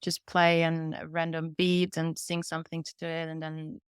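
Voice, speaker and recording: A woman's voice: low-pitched, completely flat delivery, moderate pace, clear, resonant tone, no room sound, clean studio sound. A woman, answering interview questions in an earnest, candid way and telling her own story. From Vienna, Austria. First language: German. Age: 20s. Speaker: podcast guest, singer-songwriter.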